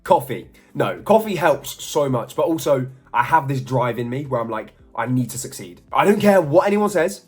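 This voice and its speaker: A man's voice: medium pitch, sing-song, normal speed, few pauses, slightly rough, faint music behind. A man, chatting through a casual Q&A vlog with jokey, self-mocking asides. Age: 20s. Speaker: model and YouTuber.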